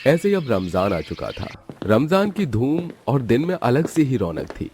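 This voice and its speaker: A man's voice: mid-range voice, big pitch swings, unhurried, a little mellow, faint music behind. A man narrating a scripted story in a dramatic storytelling style.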